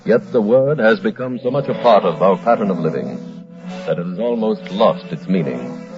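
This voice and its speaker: A man with an American accent reading scripted narration in a formal, measured, grave 1940s radio style, on an old broadcast recording. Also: lowish voice, animated. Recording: dull old radio recording, music behind.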